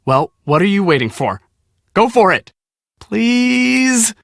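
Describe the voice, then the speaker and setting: Cocky young American storm chaser for an adventure game: higher-pitched, very animated, slangy and boastful, dry close sound. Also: male voice, slow, vocal fry, no echo, exaggerated voice. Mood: squeamish, flustered. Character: cocky, excitable young storm-chasing photographer, squeamish about mice. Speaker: video game character, storm team media guy. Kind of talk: animated casual game dialogue.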